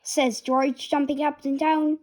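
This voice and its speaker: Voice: high-pitched, level tone, unhurried. A person reading a children's storybook aloud, word by word. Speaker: reader of a children's picture book.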